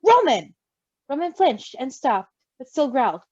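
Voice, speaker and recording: Lady doing an expressive storytime read, really lively pitch, close mic, slightly muffled. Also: brisk, dry recording. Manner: animated, giggly. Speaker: story reader.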